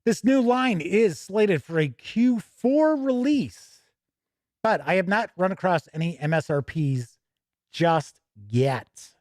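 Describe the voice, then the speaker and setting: Man, fairly high voice, dry close sound, chatty show host, casual news commentary, self-correcting asides. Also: lively intonation, slow, darkish tone, no echo. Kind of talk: casual news commentary mixed with reading product copy.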